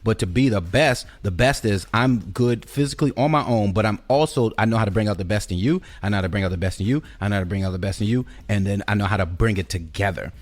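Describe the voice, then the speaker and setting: A man's voice: lowish voice, quick pace, hardly any pauses. A man hosting a podcast, talking conversationally about spiritual and cultural ideas, emphatic and repeating key phrases for effect. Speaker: podcast host.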